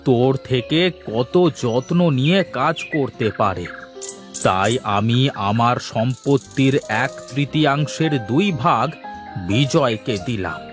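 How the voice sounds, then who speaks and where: A man's voice: medium pitch, takes it slowly, soft background music, natural voice. A man voicing an ageing, worried but fair-minded village father in a cartoon. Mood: solemn, reproving. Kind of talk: Bengali cartoon moral tale dialogue.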